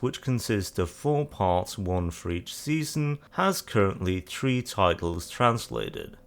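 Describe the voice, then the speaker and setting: A man's voice: fairly low pitch, creaky. A man narrating a scripted, informational buyer's guide as its host. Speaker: host of a visual novel sale guide.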